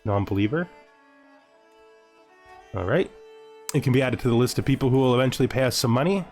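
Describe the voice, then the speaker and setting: A man's voice: mid-range voice, expressive, fairly quick, a little mellow. A man giving casual, jokey let's-play commentary on a strategy game, thinking aloud and often putting questions to himself. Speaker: gaming video host. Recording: background music under the voice. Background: music.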